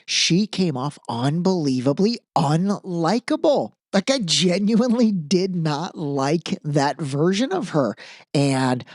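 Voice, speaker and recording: A man's voice: a little high, expressive, takes it slowly, no echo, clean studio sound. A man hosting a podcast, giving casual, opinionated commentary in an informal, emphatic way, repeating phrases for emphasis. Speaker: podcast host.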